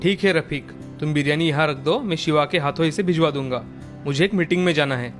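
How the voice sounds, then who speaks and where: A man's voice: fairly high pitch, a little fast, soft background music, natural voice. A man voicing a courteous orphanage manager in a story, polite and deferential.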